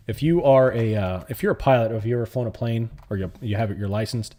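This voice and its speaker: A man's voice: mid-range voice, gravelly, mellow. A man hosting a live trading stream, talking in a loose, rambling way as he answers viewers' questions. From born in New York City, raised in the US South. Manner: rambling, conversational, answers chat questions as they come in. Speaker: livestream host and options trader. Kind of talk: casual live-stream chat.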